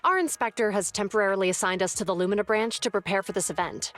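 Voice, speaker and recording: An actress playing an earnest, by-the-book young police officer in a game, speaking briskly. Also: female voice, mid-range voice, a bit bright, faint music behind, close to an everyday voice. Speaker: public security officer, Zhu Yuan.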